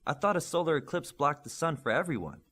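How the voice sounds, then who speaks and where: Man voicing a cocky, loud young superhero student. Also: fairly high pitch, lively intonation, fast talker, breathy edge, pitched above the performer's own voice.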